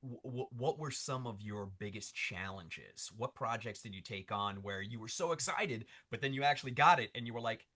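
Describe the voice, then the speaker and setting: A man's voice: mid-range voice, animated, moderate pace, few pauses, sharp tone. A man co-hosting an interview, asking questions in an eager, emphatic, casual way. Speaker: interviewer, co-host.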